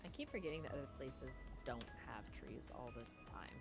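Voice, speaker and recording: A woman's voice: extremely low pitch, animated, brisk, gravelly, soft background music. A woman from California bantering playfully with a friend while gaming on stream. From California, USA. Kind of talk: casual chat while gaming.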